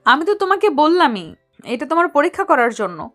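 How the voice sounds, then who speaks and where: A woman acts out a shy young doctor who is newly married and in love. Her voice is very high, with a coy, reserved and gently scolding way. Soft music runs faintly behind this romantic story dialogue. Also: very animated, brisk. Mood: tender, confiding.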